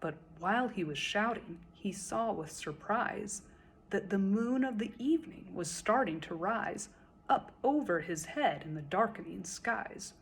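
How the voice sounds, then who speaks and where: A woman reciting a children's story in verse as a storyteller, with expressive, dramatic and deliberate phrasing. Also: mid-range voice, vocal fry, breathy edge.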